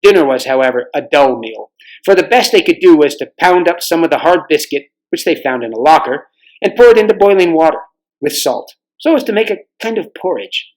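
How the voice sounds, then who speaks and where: A man narrates a children's novel as a read-aloud. His voice sits a little higher than usual for a man, and he delivers it as storybook narration. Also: animated, brisk, rough recording. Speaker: volunteer storybook reader.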